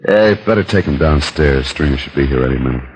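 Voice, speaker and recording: Smug male villain, very low voice, taunting menace, old radio crime show, slight hiss. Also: animated, quick pace, a little mellow, rough recording. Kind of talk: old-time radio crime drama acting. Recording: vintage radio recording with faint noise.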